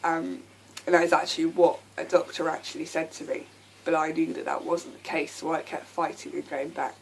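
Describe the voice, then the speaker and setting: A young woman with a croaky voice from a lasting sore throat, talking candidly to camera with many ums. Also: deep voice, level tone, fairly quick, slight background noise, rough recording. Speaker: vlogger. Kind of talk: casual talk to camera.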